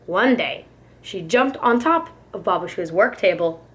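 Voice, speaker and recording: A woman preschool teacher reading a picture book to young children in an expressive, careful storytelling manner. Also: mid-range voice, measured pace.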